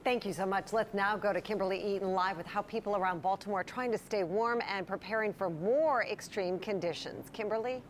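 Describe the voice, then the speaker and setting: A woman's voice: medium pitch, brisk, hardly any pauses, a little hiss or hum. A woman anchoring a television newscast, reading scripted news.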